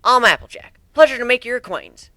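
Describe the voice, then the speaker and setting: Cartoon farm mare, acted by a man: sturdy, folksy, frank, high and bright. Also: lively intonation, quick pace, no echo, studio quality, full character voice. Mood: friendly. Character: plain-spoken, sturdy country cowgirl female pony.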